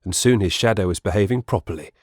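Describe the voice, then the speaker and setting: A man's voice: low voice, fast, a little mellow, no room sound, studio quality. A man reading scripted storybook narration as the narrator of an audiobook.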